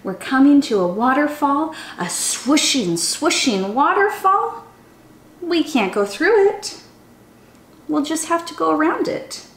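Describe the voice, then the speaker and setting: A young woman, a children's storytime teacher, reading a picture book aloud in an animated, sing-song way, speaking straight to young listeners. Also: high-pitched, takes it slowly. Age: young adult. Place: small room indoors. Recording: roomy indoor echo.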